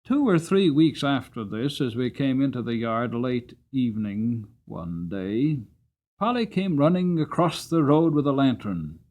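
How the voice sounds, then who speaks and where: A man narrating a storybook from a script in a dramatic, expressive, measured storytelling manner. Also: medium pitch. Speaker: audiobook narrator.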